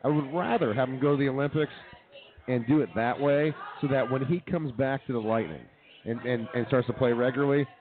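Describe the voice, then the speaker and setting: A man's voice: medium pitch, fairly quick, over music. A man co-hosting a casual sports talk show, giving confident opinions and often restarting his sentences. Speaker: co-host. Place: brewery, live show. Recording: tinny phone-like sound.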